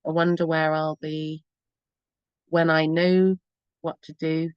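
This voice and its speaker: A woman talks in a low, encouraging voice as a radio host, rambling along and often laughing. She is recorded dry and close to the mic. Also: little pitch movement, takes it slowly, clear, resonant tone, no echo, muffled recording. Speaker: radio show host and EFT therapist. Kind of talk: casual spoken advice on a talk radio show.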